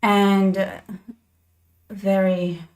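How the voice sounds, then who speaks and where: A woman's voice: medium pitch, little pitch movement, drawn-out words, a little mellow. A young woman hosting her own channel, talking casually to viewers with lots of restarts and asides. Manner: chatty, restarts often, addresses viewers directly. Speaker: channel host. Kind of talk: casual commentary to camera. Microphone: desk microphone.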